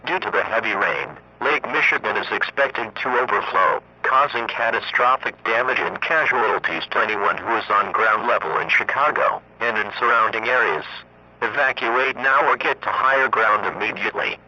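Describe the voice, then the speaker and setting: Harsh, bright male alert announcer, lo-fi. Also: on the low side, moderate pace. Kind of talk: scripted weather warning announcement. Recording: muffled lo-fi radio broadcast, faint noise.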